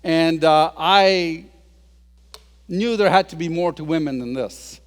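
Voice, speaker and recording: A man's voice: fairly high pitch, takes it slowly, smooth. A man in his sixties giving a humorous talk as a guest speaker, telling stories with self-deprecating asides. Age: 60s. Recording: long reverb of a large hall.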